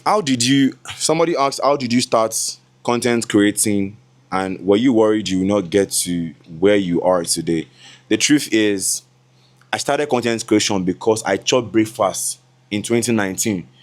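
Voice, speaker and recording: A young Nigerian man in his twenties chats to his viewers in a loose, laughing vlog voice. His tone sits a little low for a man. Now and then he slips into Yoruba asides. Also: normal speed. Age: 20s. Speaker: content creator. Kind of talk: casual vlog talk to camera.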